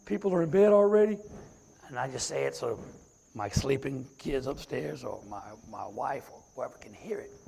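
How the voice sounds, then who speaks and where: A middle-aged man with a raspy voice, a deacon preaching a sermon and reciting scripture from memory. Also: mid-range voice, lively intonation, normal speed, warm tone, slight background noise.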